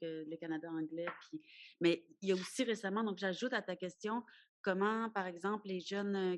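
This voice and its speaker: A woman speaks as a panelist at an academic round table. She talks thoughtfully and often repeats a word while she works out her idea. Her voice sits at an ordinary pitch for a woman.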